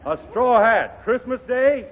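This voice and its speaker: A man's voice: extremely high pitch, lively intonation, unhurried, big-room sound, full character voice. A man playing a brash, skeptical straight-man reporter in a 1930s radio comedy, blunt and wisecracking. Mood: incredulous. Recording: tinny, noisy 1930s radio broadcast.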